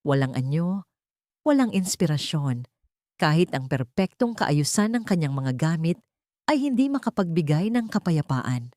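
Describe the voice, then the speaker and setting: A woman's voice: sits low, sing-song, normal speed, dark tone, no room sound, studio quality. A woman with a Filipino accent reading a scripted dramatic story as an audiobook narrator.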